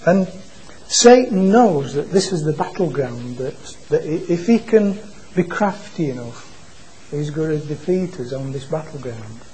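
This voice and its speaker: Male preacher, quiet voice, mellow, slightly raised pitch, halting restarts. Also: lively intonation, slight background noise, rough recording. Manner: halting, repeats and restarts words. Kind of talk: informal church sermon. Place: church meeting. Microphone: microphone being adjusted.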